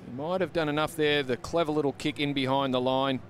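A man giving calm, analytical expert commentary on a rugby league match from a stadium commentary box. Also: a little high. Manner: measured, explains the play. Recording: broadcast with loud stadium noise.

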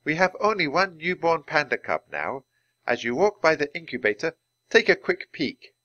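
A man voicing a poised young woman scientist who speaks in a formal, measured, instructive way. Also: on the high side, very animated, bright, forceful tone, dry recording, highs cut off, pitched above the performer's own voice.

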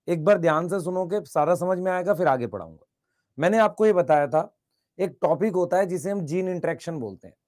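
A male teacher with a high voice, a bit hoarse today. He keeps asking the class to answer fast in the chat and repeats each point. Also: mellow. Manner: calls students "beta", repeats questions and urges quick chat answers. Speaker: biology teacher. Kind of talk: online class lecture.

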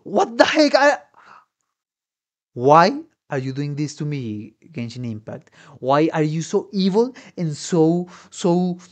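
A man's voice: on the high side, sing-song, takes it slowly. A young adult man giving casual reaction commentary as a musician, slangy, sweary and enthusiastic. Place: small indoor room. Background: faint music.

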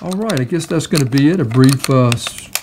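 A man giving a casual, hands-on product walkthrough in a halting, hesitant way. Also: medium pitch, expressive, background noise. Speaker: product demonstrator.

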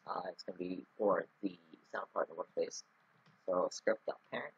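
A man's voice: deep voice, fairly even intonation, normal speed, vocal fry, husky, highs cut off. A man talking through a casual screen-recorded coding tutorial, rambling with many ums and restarts. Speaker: scripting tutorial host.

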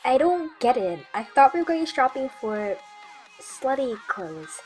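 A woman playing a foul-mouthed, sullen eleven-year-old girl in an audio drama, blunt and grumpy with a husky voice. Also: sits high, very animated, a little slow, soft background music, poor audio. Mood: confused, whiny.

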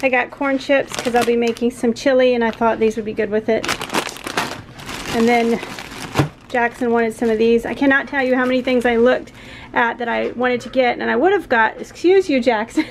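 A woman's voice: a little high, normal speed, background noise. A woman in her forties with a Southern American accent, chatting casually to the camera from her kitchen, rambling with frequent little laughs. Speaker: home vlogger. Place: home kitchen and backyard. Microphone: handheld camera close up.

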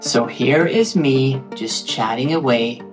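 A man's voice: medium pitch, takes it slowly. A man in his thirties with a Norwegian accent, giving a playful, chatty talking-head tutorial with guitar music underneath. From Norway. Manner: playful, chatty, with asides to viewers. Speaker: tutorial creator. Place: indoor room with frosted glass behind.

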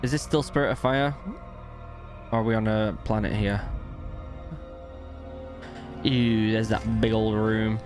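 A man's voice: fairly low pitch, steady tone, unhurried, pauses often, darkish tone, soft background music. A young man hosting a reaction video, chatting informally and eagerly into a close desk microphone. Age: young adult. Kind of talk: casual chat and reactions. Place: indoor home studio. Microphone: close desk microphone on arm.

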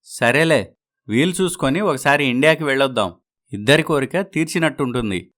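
A man's voice: mid-range voice, expressive, moderate pace, a bit bright, close to an everyday voice. Man playing a dutiful, placating grown son. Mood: considerate. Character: dutiful adult son living abroad, apologetic, placating.

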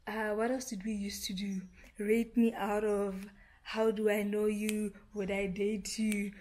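A young woman from Botswana with a Botswana English accent, chatting excitedly to her camera in a casual vlog, often dropping to a half-whisper. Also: medium pitch, measured pace, a little hiss or hum. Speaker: vlogger. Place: small room indoors, later a parked car. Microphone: handheld camera at arm's length.

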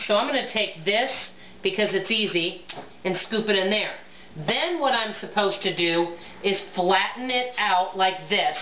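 A middle-aged woman talks her viewers through a recipe in a relaxed, chatty way, thinking aloud as she works. Her voice bounces around a hard-surfaced home kitchen, picked up by a camera mic a few feet away, with slightly muffled, dull sound. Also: medium pitch, roomy sound, slight background noise. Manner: chatty, addresses viewers as "guys" and "y'all", thinks aloud while working. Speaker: cooking vlogger. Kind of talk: casual cook-along chat to camera. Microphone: camera mic from across the counter.

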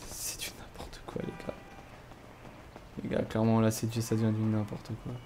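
A man's voice: fairly low pitch, monotone, normal speed, mellow, a little hiss or hum. A man chatting casually while streaming a game, with repetitive, slangy phrasing. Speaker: live streamer. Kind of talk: casual gaming chat and roleplay.

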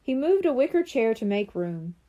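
A woman reading a children's storybook aloud in an expressive, animated way, acting out the dialogue. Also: a little high, moderate pace, muffled recording. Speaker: audiobook reader.

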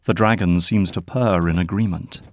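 A man narrating an audio drama in a measured, scripted style. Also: sits low, steady tone.

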